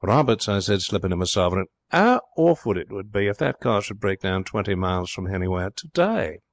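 A man voicing a stately, deferential old butler who is indulgent and quietly scheming in a storybook reading. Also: low-pitched, big pitch swings, a little fast, no room sound, clean studio sound. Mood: smooth, scheming. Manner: courtly, indulgent, formal and deferential.

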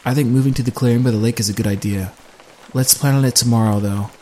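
A man voicing a calm male survivor who talks gently to settle the others. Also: mid-range voice, quick pace, slight background noise, lightly acted. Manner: calming, gentle.

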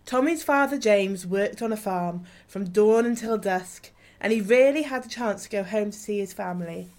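A woman's voice: medium pitch, normal speed, a little mellow. A woman reading scripted narration in a radio play.

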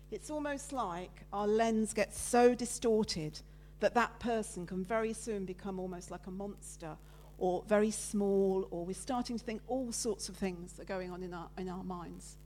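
A woman giving a lively, loud, chatty sermon with slides, talking with her congregation. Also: medium pitch, unhurried. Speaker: preacher giving a talk at church. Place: church meeting room with listeners present.

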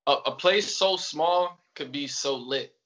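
A man with a Southern accent talks about music as a podcast guest. He is laid-back and easygoing, and his voice is bright, forceful and a bit high. The audio is dull and muffled. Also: unhurried. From Atlanta. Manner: laid-back, repeats yes often. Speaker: rapper guest. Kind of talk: casual interview chat.

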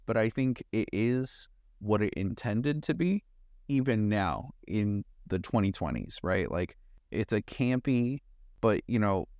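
A man's voice: fairly low pitch, a little mellow, no echo, clean studio sound. A man co-hosting a podcast, chatting casually as he reviews a movie. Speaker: podcast co-host.

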